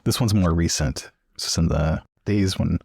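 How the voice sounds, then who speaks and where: A man with a very low voice tells an improvised tale, choosing his phrases haltingly and deliberately. It sounds like a story game among players, recorded dry and close. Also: creaky, darkish tone, breathy edge, no room sound. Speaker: tabletop role-player. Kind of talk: improvised in-character storytelling, conversational.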